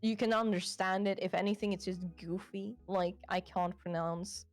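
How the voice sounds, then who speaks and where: A woman's voice: mid-range voice, fairly even intonation, normal speed, faint music behind. A woman from Sweden with a Swedish accent, a VTuber guest chatting casually, reflective and self-conscious, laughing easily. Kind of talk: casual chat.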